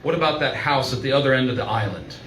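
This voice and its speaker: A man's voice: mid-range voice, animated, fast, fairly bright tone, lightly acted. A man playing an earnest doctor in an old-time radio play, pleading insistently and dramatically. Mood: urgent, exasperated. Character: earnest, urgent male doctor pleading with a frightened woman. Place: live performance venue, indoors. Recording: roomy, distant live-stage recording, faint noise.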